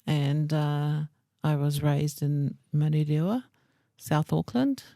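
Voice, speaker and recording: A woman's voice: sits low, creaky, mellow, dry recording, studio quality. A middle-aged woman from New Zealand with a New Zealand accent, fondly reminiscing about her childhood as a guest on her son's podcast. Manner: unhurried, reminiscing, fond. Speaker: guest, host's mother. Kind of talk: casual interview.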